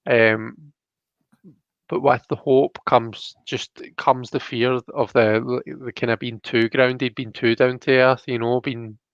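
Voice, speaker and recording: A man's voice: mid-range voice, flat delivery, slow pace, smooth, no echo, muffled recording. A Scottish man giving a casual tarot reading, thinking aloud and often checking that the listener follows. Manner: thoughtful, often checks with "you know" and "do you know what I mean". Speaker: host and tarot card reader.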